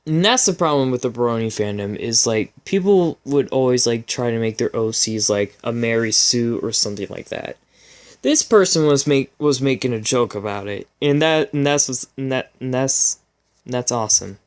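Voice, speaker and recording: A man's voice: medium pitch, lively intonation, moderate pace. A man hosting a casual comedic fan fiction reading, informal and amused, stumbling over his words as he goes. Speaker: channel host.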